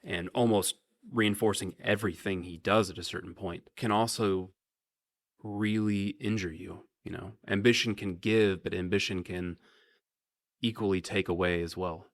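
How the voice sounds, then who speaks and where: A man's voice: sits low, fairly even intonation, normal speed, dry recording. An American man, an actor, speaking conversationally in an interview.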